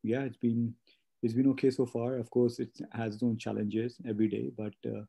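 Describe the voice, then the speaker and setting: A man's voice: mid-range voice, little pitch movement, moderate pace, creaky, warm tone, husky. A man in his late thirties from India, talking through his career in a casual interview, rambling with many fillers and restarts. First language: not English. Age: late 30s. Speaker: guest, spa business owner.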